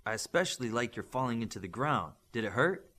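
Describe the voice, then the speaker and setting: A man's voice: medium pitch, sing-song, a little fast, bright, forceful tone. A man playing a self-assured, casual superhero team leader in an audiobook. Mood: confident. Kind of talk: audiobook character voice.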